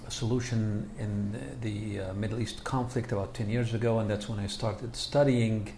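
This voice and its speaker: Man from Egypt, interview guest, hesitant and halting, mellow dark tone, faint background noise. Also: fairly low pitch, fairly even intonation, echoey room. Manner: halting, many fillers and restarts. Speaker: guest, scholar of Islam. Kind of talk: interview answers.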